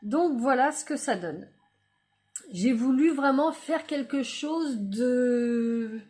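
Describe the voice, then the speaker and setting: A woman talking casually through a card-making tutorial, chatty and hesitant, often trailing off with fillers as she works. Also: high voice. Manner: quiet, murmured while working on the craft. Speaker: crafting YouTuber.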